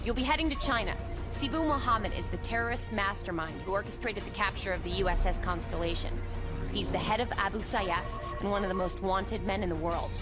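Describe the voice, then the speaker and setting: A woman playing a steady mission handler who briefs two soldiers over the radio in a game. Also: mid-range voice, fairly quick, loud music behind the voice, lightly acted. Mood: briefing. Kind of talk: video game cutscene acting.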